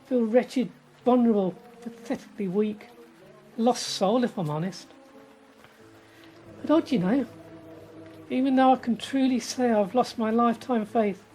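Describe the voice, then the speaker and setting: A woman's voice: medium pitch, warm tone, lightly acted. A woman playing an earnest, frightened young male First World War soldier in a radio drama. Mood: wistful. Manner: halting, earnest confiding. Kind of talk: radio drama monologue and dialogue. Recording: noisy ambience behind the voice.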